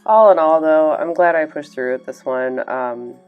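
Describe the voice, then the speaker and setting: Woman, low pitch, nasal from a cold, casual chatty voice-over, faint music. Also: moderate pace, narrow, tinny audio. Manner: chatty, many ums and small laughs. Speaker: art channel host. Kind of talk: casual voice-over while painting.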